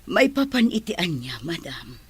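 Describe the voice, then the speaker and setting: A woman's voice: lowish voice, very animated, normal speed, slightly rough, exaggerated voice. A woman acting as an anxious, deferential housemaid in an Ilocano radio drama, speaking with an Ilocano accent and a respectful, worried manner. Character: anxious, deferential housemaid fretting over Dorina. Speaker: voice actor in drama podcast.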